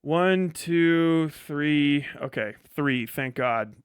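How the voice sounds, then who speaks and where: Casual male streamer, smooth and fairly high-pitched, very dry sound. Sardonic, exasperated, swears constantly, rambles freely. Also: extremely slow, dry recording. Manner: heavily profane. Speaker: livestreamer and video creator giving a work update. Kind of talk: casual rambling livestream chat.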